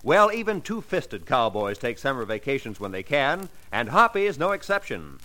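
A man's voice: medium pitch, very animated, normal speed, sharp tone. A man with an American accent announcing from a script in a formal, declamatory 1940s radio style.

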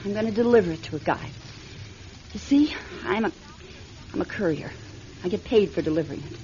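A woman's voice: mid-range voice, very animated, brisk, dark tone, lightly acted. An actress playing an anxious stranded woman in a radio drama, speaking in a naturalistic, hesitant, pleading way. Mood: matter-of-fact. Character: anxious stranded young woman, a secretive money courier. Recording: dull, noisy radio drama recording.

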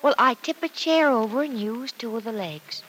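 A woman's voice: fairly high pitch, moderate pace, fairly bright tone, close to an everyday voice, poor audio. An actress playing a good-humored, homey young woman in an old radio soap, chatting in a light, teasing way. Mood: amused, teasing. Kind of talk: 1940s-50s radio soap opera acting. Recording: old radio transcription with faint noise.

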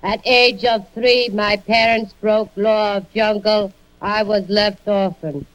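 A woman playing a deadpan mock-exotic jungle goddess in a 1940s radio comedy, with clipped phrasing that drops small words. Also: mid-range voice, slow pace, close to an everyday voice. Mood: deadpan, solemn. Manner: clipped speech dropping articles, deadpan. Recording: slightly noisy old radio recording.

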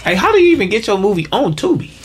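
A man's voice: sits high, very animated, racing, slight background noise. A man hosting a casual podcast, talking in laid-back, slang-heavy banter. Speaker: podcast host.

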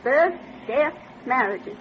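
A woman's voice: high-pitched, lively intonation, takes it slowly. An actress playing a feisty, plainspoken old American pioneer woman with a homespun manner in an old-time radio drama. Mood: nostalgic. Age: older. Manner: homespun, spirited. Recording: muffled old radio, droning noise behind.

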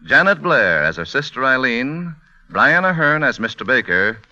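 Man, radio drama announcer, medium pitch, lively intonation, formal 1940s style, dull old recording, music behind. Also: normal speed, no echo.

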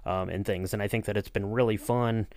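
A man's voice: lowish voice, steady tone, fairly quick, a little mellow, no room sound. A man co-hosting a podcast as interviewer, talking casually in a rambling, enthusiastic way with many you-knows. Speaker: podcast co-host acting as interviewer.

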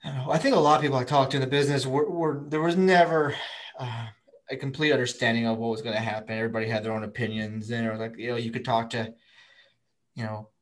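A man's voice: medium pitch, steady tone, fast, poor audio. A man speaking as a guest on a podcast, thinking aloud with frequent restarts. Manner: thoughtful, lots of fillers and restarts. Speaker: guest, prospect writer. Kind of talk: casual podcast interview.

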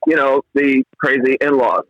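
A man's voice: mid-range voice, fairly even intonation, moderate pace, fairly bright tone, no room sound, highs cut off. A man calling in to a talk show, explaining earnestly over a phone-in line. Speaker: caller. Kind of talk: call-in radio talk.

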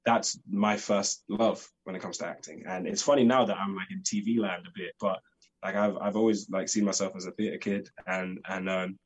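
A man's voice: deep voice, little pitch movement, normal speed, dull audio, a little hiss or hum. A young man with a London accent, chatting eagerly as an actor guest in an interview, leaning on "like" as he talks. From United Kingdom. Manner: chatty, fills talk with "like", eager. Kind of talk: casual interview chat.

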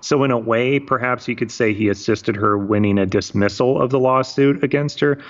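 A man from Michigan hosting a talk show, telling a story in measured narration and turning blunt at times. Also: mid-range voice, highs cut off. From Michigan, USA. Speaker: podcast host. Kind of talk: scripted narration mixed with casual chat.

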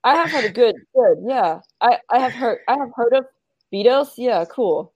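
A woman's voice: fairly high pitch, expressive, moderate pace, darkish tone, no echo, low-quality recording. A young woman from Malaysia talks casually over a video call. She hesitates often and keeps restarting her sentences with fillers as she explains things. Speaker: guest.

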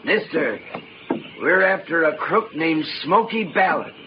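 An actor playing a steady, reasonable frontier townsman with folksy western talk in a radio drama. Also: fairly high pitch, a little slow. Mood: calm, firm. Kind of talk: old-time radio western acting. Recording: noisy, dull vintage radio recording.

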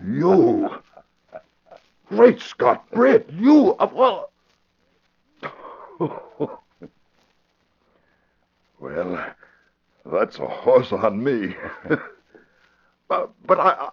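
Blustery middle-aged american police commissioner, old radio drama, swoopy excitable voice, dull recording. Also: male voice, medium pitch, slow pace, creaky, long pauses, husky, full character voice. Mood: astonished. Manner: blustery, exclaiming, stammering when astonished. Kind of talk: 1940s radio acting.

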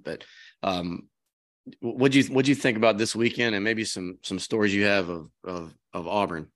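A man's voice: fairly low pitch, a bit bright. Chatty man with a strained voice. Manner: chatty, rambling with restarts and fillers. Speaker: podcast host. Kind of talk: casual chat.

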